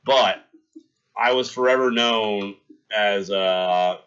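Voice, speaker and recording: A man in his thirties streaming live, telling a long gross-out story with animated delivery and acting out the scenes for his chat. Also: fairly low pitch, slow pace, smooth, dull audio.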